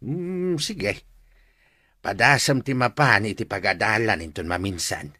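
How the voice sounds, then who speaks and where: A fatherly Ilocano man in a radio drama, with a bright, swooping voice recorded close and dry. He is familiar and kindly, nudging and teasing his grown son as he advises him. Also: lowish voice, animated, a little slow, no room sound, full character voice. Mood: teasing, encouraging.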